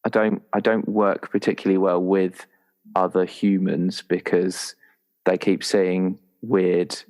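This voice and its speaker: A man in a casual, halting chat as a roleplay player, often restarting his phrases. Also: low-pitched, little pitch movement, darkish tone. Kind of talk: casual chat, creating a game character.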